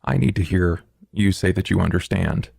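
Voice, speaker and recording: A man playing a courtly, stern gentleman vampire, speaking in a measured, formal and proper way. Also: sits low, flat delivery, gravelly, slightly rough, no room sound, studio quality, exaggerated voice. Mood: formal, businesslike.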